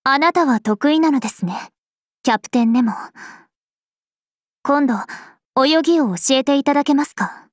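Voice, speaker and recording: A woman playing a regal, serene vampire princess of a video game, speaking formally and with measured poise. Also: high-pitched, no echo, studio quality, natural voice. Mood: serene, pensive. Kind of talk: scripted mobile game character voice lines.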